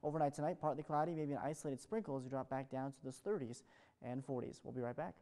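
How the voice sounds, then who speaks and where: A man's voice: medium pitch, quick pace, dark tone. An American man presenting an ad-libbed television weather forecast in an upbeat, chatty way.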